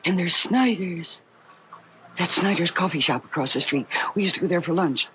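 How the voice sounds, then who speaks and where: An actress playing an anxious, impulsive woman with a husky voice and an agitated, questioning manner in a 1970s radio drama. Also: fairly low pitch, normal speed, noisy background, natural voice. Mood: astonished. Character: anxious, impulsive woman, regretful and wanting a new life. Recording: muffled vintage radio broadcast.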